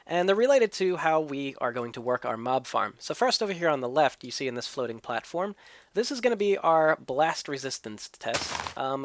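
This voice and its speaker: Game host, male, slightly stuffy from a cold, explanatory thinking-aloud delivery, mid pitch, dry close sound. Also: no echo. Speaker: let's play host. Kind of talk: gameplay commentary and tutorial.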